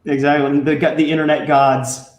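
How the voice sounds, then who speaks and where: A man's voice: on the high side, level tone, normal speed, slight background noise. A man hosting a podcast in a casual, joking way, laughing easily between remarks. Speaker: podcast host. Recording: roomy echo.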